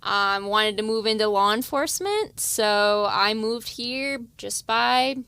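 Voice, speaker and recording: A woman in her thirties, a police officer from West Virginia, talks in a light, youthful voice with a bright, smooth ring. She speaks softly, with many fillers, and is recorded close and dry. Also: a little high, takes it slowly, no room sound. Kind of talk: casual interview.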